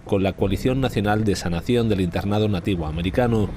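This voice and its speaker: A man reading scripted news narration as a reporter, in a measured, even delivery. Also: lowish voice, background noise. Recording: voice-over with clear music behind.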